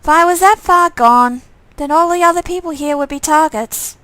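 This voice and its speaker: A woman's voice: high voice, normal speed, fairly bright tone, dry recording, voice pushed up. A woman voicing a wary visual novel heroine, pointed and questioning in her delivery. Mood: wary, teasing.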